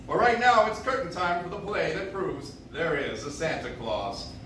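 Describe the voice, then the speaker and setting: Genial old-time radio host guy, acted, formal script reading, higher male voice, far from mic, noisy. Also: lively intonation, moderate pace, fairly bright tone. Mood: genial, presenting. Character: genial 1940s radio producer-host, selling and narrating. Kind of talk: 1940s radio hosting, scripted narration. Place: coffee house stage, recorded from audience. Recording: echoey room from afar, clear noise.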